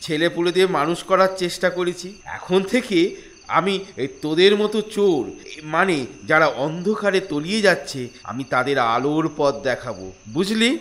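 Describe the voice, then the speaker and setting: A man's voice: on the high side, moderate pace, fairly bright tone, close to an everyday voice. A man voicing a preachy, earnest older schoolmaster in a comic cartoon, lecturing emphatically.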